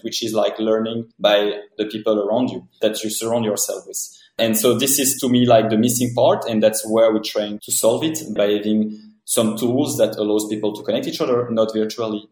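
A man's voice: on the low side, darkish tone. A man with a French accent, a founder answering podcast interview questions earnestly in long, winding sentences. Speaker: startup founder guest. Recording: roomy echo.

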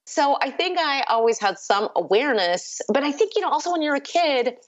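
A woman in her fifties whose first language is Urdu, telling stories in a relaxed conversation with many "like"s and "you know"s. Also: high voice, a little fast, dull audio. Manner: chatty, storytelling, frequent "like" and "you know". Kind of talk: casual conversation.

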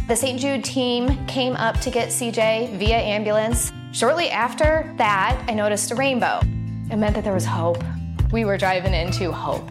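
A woman giving a personal testimonial as a parent in a charity appeal. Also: medium pitch. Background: music.

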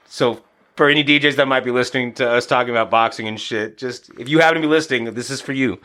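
A man in his thirties chatting on a podcast, loose and profane, with a bright, forceful voice at a middling pitch. He tells stories and drops into short acted asides as he goes. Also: quick pace. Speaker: podcast guest, boxing coach and former DJ. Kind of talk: casual podcast chat.

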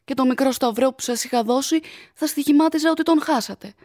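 A woman's voice: high voice, darkish tone, no room sound, natural voice. A woman playing a pious, anxious young fiancée in a radio drama, speaking earnestly and formally with tender reproach. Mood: worried, grave.

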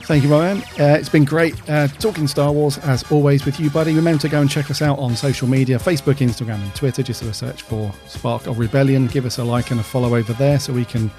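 A man's voice: medium pitch, fairly even intonation, quick pace, few pauses, darkish tone, soft background music. A man co-hosting a podcast in casual, easygoing, friendly chat. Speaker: podcast co-host.

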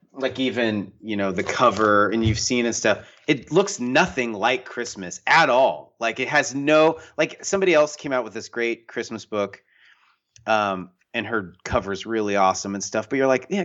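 Low-voiced man telling stories casually. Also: muffled recording. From US Northwest. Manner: animated storyteller, acts out quoted voices, many fillers. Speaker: guest author and painter. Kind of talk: casual conversational interview.

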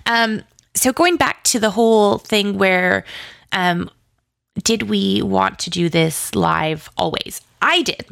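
Chatty woman with a gravelly creak. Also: medium pitch, slow, fairly bright tone, no room sound, clean studio sound. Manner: chatty, rambling, heavy use of "like" and "you know"; effusive, enthusiastic. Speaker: interview guest, audio drama writer and producer. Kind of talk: casual interview chat.

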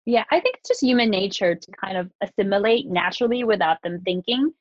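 A woman's voice: medium pitch, animated, quick pace, no echo. Woman from the Philippines, chatty and full of fillers, on a dry, slightly dull mic. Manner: chatty, many fillers and restarts. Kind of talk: casual conversation.

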